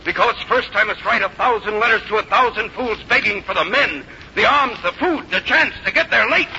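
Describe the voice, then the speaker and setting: A man's voice: high-pitched, brisk, sharp tone, heavily acted. A man acting a grave, commanding general in a 1950s radio drama, speaking with formal, weighty authority. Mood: indignant. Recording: muffled, noisy 1950s radio recording.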